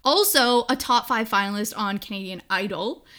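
A woman's voice: medium pitch, moderate pace, a bit bright. A woman hosting a podcast interview, playful and giggly while she reads the guest's introduction. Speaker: podcast host. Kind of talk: casual interview chat.

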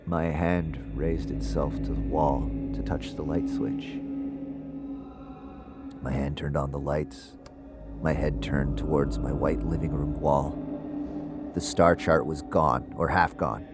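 An actor playing a haunted, uneasy man with seizures, confiding in a halting, introspective audio drama monologue. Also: rumbling low, flat delivery, loud music behind the voice. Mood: eerie, unsettled.

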